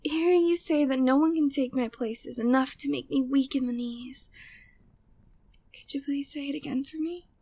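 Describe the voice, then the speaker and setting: High-voiced flirty, devoted maid played by a woman. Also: normal speed, warm tone. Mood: smitten, teasing. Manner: formal, genteel phrasing, breathy sighs, teasing. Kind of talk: intimate ASMR roleplay, scripted monologue.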